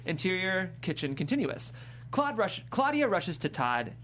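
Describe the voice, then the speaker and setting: A woman reads scene headings and stage directions from a script. Her narration moves around a lot in pitch and is plainly scripted. There is clear noise and hum behind the voice. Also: sing-song, normal speed, a bit bright. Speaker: stage-direction reader at a table read.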